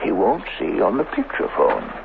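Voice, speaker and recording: An actor in an old radio drama playing a flustered, placating district chief who blusters and hesitates, on a hissy old broadcast. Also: male voice, deep voice, huge pitch swings, moderate pace, vocal fry, narrow, tinny audio, heavily acted. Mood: flustered, guarded. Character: flustered male colony district chief, placating and evasive official.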